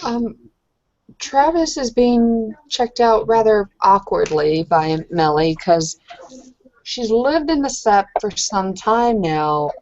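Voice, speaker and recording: A woman's voice: mid-range voice, sing-song, slow, darkish tone. A woman playing a tabletop roleplaying game, improvising narration of her character's actions. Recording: muffled lo-fi voice recording.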